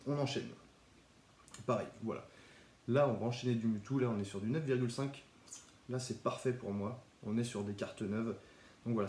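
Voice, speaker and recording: Young man with a cold, mellow low voice, chatty card vlog in an echoey room. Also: slight background noise. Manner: relaxed, chatty, with small restarts. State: has a cold, stuffy. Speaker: Pokémon card collector YouTuber. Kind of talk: casual card showcase vlog.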